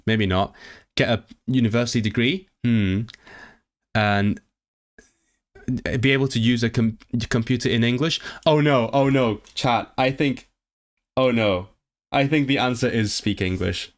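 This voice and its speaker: A man's voice: mid-range voice, a little slow, pauses often. A man chatting live with his viewers, reading quiz questions aloud, translating them and often restarting his sentences. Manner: chatty and animated, reacting to the chat. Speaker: livestreamer. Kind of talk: casual livestream chat with viewers.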